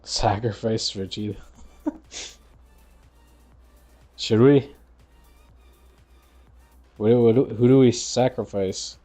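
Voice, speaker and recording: Male game streamer, low voice, slow casual slangy talk, half to himself, soft music and typing behind. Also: fairly even intonation. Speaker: livestreamer playing a text adventure game. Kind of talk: casual gaming stream chat.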